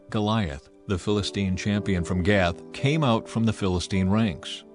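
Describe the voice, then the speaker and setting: A man narrating scripture in a measured, dramatic reading. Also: lowish voice. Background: faint music.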